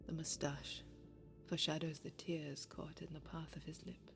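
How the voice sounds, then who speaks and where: A woman playing a grieving, heartbroken lover in a poetic audio-drama monologue, measured and reflective, with breathy pauses. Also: deep voice, flat delivery, over music. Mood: wistful, grieving.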